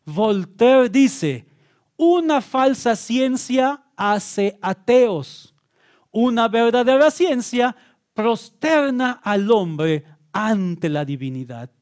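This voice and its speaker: Male preacher-host for scripted apologetics teaching: high register, very slow, emphatic and deliberate. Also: lively intonation, a little mellow. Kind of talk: scripted teaching monologue with quotations.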